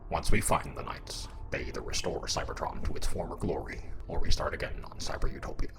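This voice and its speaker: A man's voice: low-pitched, expressive, a little fast, whispered. A man voicing a big, resolute robot leader in a scripted audio drama.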